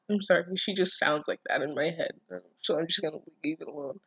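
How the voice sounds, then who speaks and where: A woman with a fairly low voice in a dry, echo-free recording. She comments casually and comically on what she reads, swearing freely. Her manner is sardonic and exasperated, often stammering. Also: normal speed, bright, forceful tone, breathy edge. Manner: breaks into laughter and stammers. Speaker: reader and commentator of a fan fiction series. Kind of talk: casual comedic reading with running commentary.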